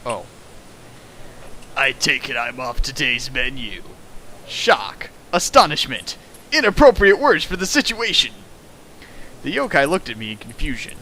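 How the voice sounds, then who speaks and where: Young man, sarcastic, exasperated, lively pitch, bright voice, riffing on a fanfic. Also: unhurried, pauses often, a little hiss or hum, poor audio. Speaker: fanfic riffer. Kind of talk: comedic dramatic reading and riffing.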